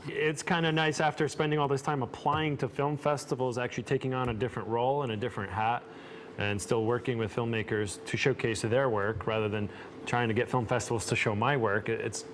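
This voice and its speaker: A man from the United States, a guest on a talk show, speaking about film in a relaxed, hesitant way with many fillers. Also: medium pitch, fairly quick, slight background noise. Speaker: guest, film festival program director. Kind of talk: casual interview talk.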